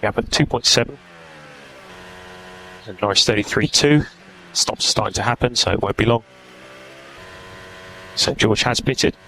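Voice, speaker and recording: A man's voice: lowish voice, measured pace, pauses often, sharp tone. A man working as a race engineer, giving clipped, procedural instructions over team radio. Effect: team radio transmission. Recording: noisy team radio feed.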